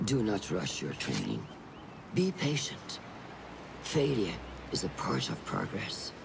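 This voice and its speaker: An actor playing a stern, wise master of hidden assassins in a video game, with a measured, instructive manner. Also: male voice, deep voice, wildly swooping pitch, vocal fry, background noise, heavily acted. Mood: calm, admonishing. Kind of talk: dramatic video game acting.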